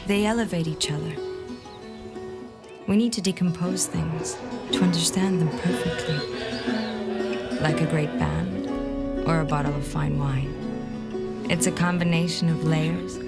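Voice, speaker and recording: A woman's voice: sits low, steady tone, vocal fry. Poetic female promo narrator over guitar. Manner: poetic, measured delivery. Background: plucked guitar music.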